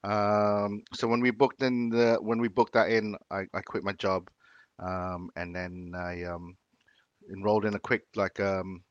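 A man's voice: lowish voice, level tone, measured pace, no room sound, highs cut off. A man, talking casually in an interview, with many fillers and restarts. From Philippines, raised in Sydney. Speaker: chef.

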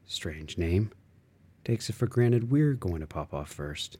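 Man, audiobook reader, deep pitch, slow pace, literary interior monologue, musing fragmented phrasing. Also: expressive, warm tone, slightly rough.

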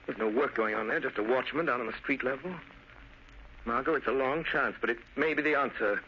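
A medium-pitched male voice on a dull, slightly noisy vintage radio recording. It is a gentleman detective played by a man, composed and articulate, reasoning briskly and decisively. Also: lightly acted. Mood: urgent, grave. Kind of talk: old-time radio drama acting.

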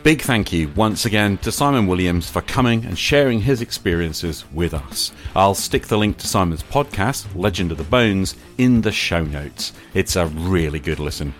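A man with a British accent hosting a podcast in a casual, chatty style. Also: low voice. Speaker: podcast host. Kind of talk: casual interview chat and sign-off.

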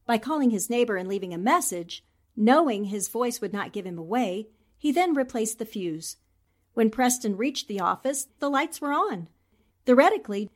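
Confident female reporter-detective played by a woman, higher-pitched, deliberate delivery, vintage radio mystery. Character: sharp, confident newspaperwoman sleuth explaining a murder. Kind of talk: 1940s-style radio drama acting.

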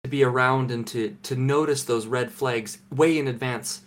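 Young canadian man, deadpan and mock-serious, halting restarts, mid-pitched voice, recorded at home. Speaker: podcast co-host.

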